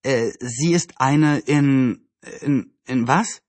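A man's voice: mid-range voice, expressive, moderate pace, dry recording, lightly acted. Man as an unimpressed, teasing tomboy. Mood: mocking. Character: unimpressed, mocking tomboy girl.

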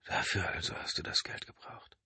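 A man voicing a shaken adult man who speaks in a hushed, stunned way. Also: extremely slow, no room sound, heavily acted.

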